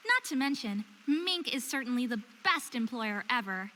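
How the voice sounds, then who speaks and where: A woman's voice: fairly high pitch, expressive, normal speed, sharp tone, big-room sound, slight background noise. A woman playing an earnest, stubborn and curious young woman of faerie descent in a visual novel game. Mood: musing. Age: young adult. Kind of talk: visual novel voice acting with inner monologue.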